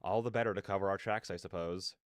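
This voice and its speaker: A man reading aloud as a wry first-person narrator of a story game, in measured, dramatic storytelling. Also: low-pitched, steady tone, dry recording, clean studio sound, pitched below the performer's own voice, natural voice. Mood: wry, intent. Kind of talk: scripted narration read aloud.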